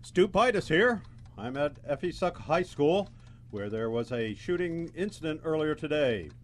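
A man's voice: mid-range voice, slight background noise, lightly acted. A young man playing an earnest field news reporter in a student radio play, announcer-like. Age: young adult. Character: earnest male field news reporter who admires heroes.

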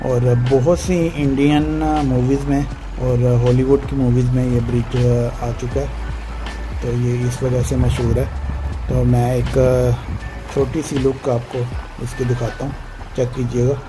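A man's voice: mid-range voice, flat delivery, measured pace, low-quality recording. A man giving casual motorbike vlog commentary, pointing out passing sights to viewers. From Pakistan. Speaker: motorbike vlogger. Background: music, vehicle sound.

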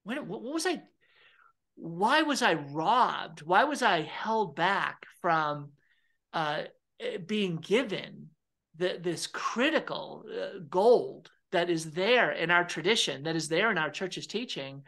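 A man's voice: high-pitched, animated, slow pace, creaky, fairly bright tone. Earnest middle-aged man on a video call. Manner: earnest, repeats words, gestures with hands. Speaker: interviewer, theologian. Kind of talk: earnest conversational interview. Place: home room with fireplace.

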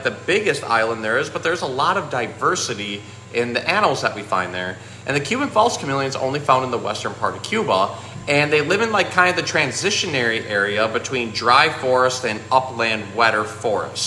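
Man, thirties, casual animal educator and storyteller. Bright, conversational delivery, echoey room. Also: mid-range voice. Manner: conversational, explanatory. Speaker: zookeeper and pet store presenter. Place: small indoor room with painted aquarium backdrop. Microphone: phone at arm's length. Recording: echoey room, faint background noise.

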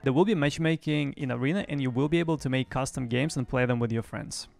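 A man's voice: mid-range voice, fast, gravelly, darkish tone. A young man presenting a gaming news recap in a semi-scripted style. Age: young adult. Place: small home streaming room. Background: faint music.

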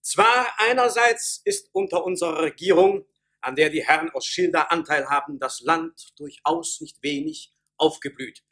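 Fussy storybook emperor: formal, stately, husky, slightly high, theatrical radio play style. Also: male voice, animated, normal speed, pushed and bright, full character voice. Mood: ceremonious, concerned. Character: ceremonious, worried emperor of a fairy-tale realm.

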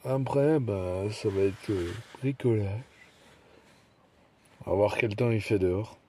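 A man's voice: on the low side, measured pace, dark tone, slight background noise. A young man chatting casually and drowsily to his phone while lying in bed in a small camper. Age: young adult. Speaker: vlogger. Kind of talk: casual morning vlog chat. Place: small camper bedroom, indoors. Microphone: phone at arm's length.

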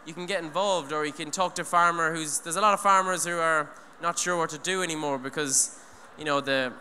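Young guy talking on stage, earnest and rambly, bright edgy voice, echoing hall. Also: on the high side, steady tone, brisk. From Ireland. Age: young adult. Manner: earnest, rambling, many restarts and "you know". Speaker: speaker at an eco event. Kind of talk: talk on stage. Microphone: handheld mic. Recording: long hall reverb, faint noise.

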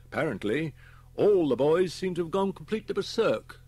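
British chief inspector as a male narrator plays him, brisk, official, raised pitch, husky, audiobook. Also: very animated, darkish tone, no room sound. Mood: grave. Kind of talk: audiobook character voice.

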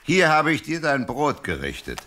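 An actor playing a shepherd boy's gruff but fond father in an audio play, theatrical and affectionate even when scolding. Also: on the high side, normal speed, noisy background. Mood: patient, firm.

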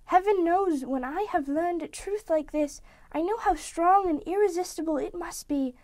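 A man reading an audiobook voices a gentle, sorrowful young woman who is releasing her betrothed, speaking softly and with resignation. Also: very high-pitched, no echo, voice pushed up. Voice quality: soft, gentle. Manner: quiet, measured, resigned pleading.

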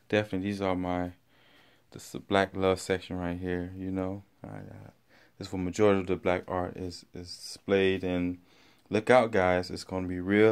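A man's voice: deep voice, clear, resonant tone. A middle-aged man walking through his home with a phone, chatting upbeat about paintings for sale, with many fillers and restarts. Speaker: art seller previewing his auction. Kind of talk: casual walkthrough vlog. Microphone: handheld phone camera, walking around.

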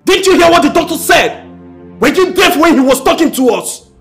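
A man acting as a husband and father who pleads with his wife and then confronts her loudly. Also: sits high, big pitch swings, normal speed, faint music behind. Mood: furious. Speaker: actor.

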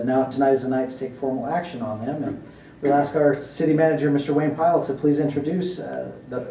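A man chairing a council meeting in formal procedural language, speaking haltingly with many pauses. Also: mellow. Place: large indoor council chamber. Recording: roomy, distant council chamber pickup, faint rumble.

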